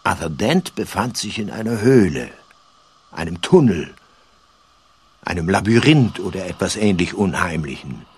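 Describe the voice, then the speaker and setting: A man narrating a comic science-fiction radio play in a measured, dryly ironic, literary manner. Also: low-pitched, big pitch swings, husky.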